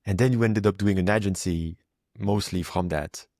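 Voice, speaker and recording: A man's voice: low voice, moderate pace, darkish tone, no echo, clean studio sound. A man hosting a podcast, chatting casually and rambling with fillers. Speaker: podcast host. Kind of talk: casual interview chat.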